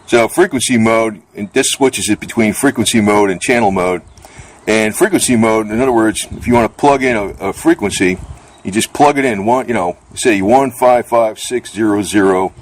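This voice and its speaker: Male vlogger, lowish voice, plainspoken and a bit grumbly, rambling through a casual hands-on gear demo. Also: normal speed, slight background noise. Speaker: vlogger demonstrating radio gear. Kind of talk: casual off-the-cuff product demonstration.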